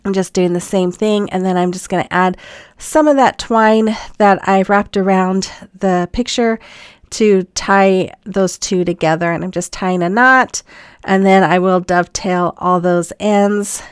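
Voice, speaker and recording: A woman's voice: mid-range voice, steady tone, measured pace, clean tone, no echo, clean studio sound. A middle-aged woman with a stuffy cold, chatting through a DIY project step by step with frequent ums. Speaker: craft channel host. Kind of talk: narrated DIY voiceover.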